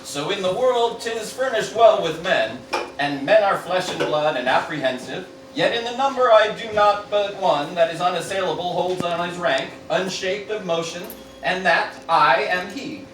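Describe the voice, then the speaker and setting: A man's voice: high-pitched, lively intonation, moderate pace. A man playing a pompous, self-important ruler, declaiming stumbling verse in an amateur parody radio play. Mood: grand, arrogant. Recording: roomy, distant mic, loud background noise.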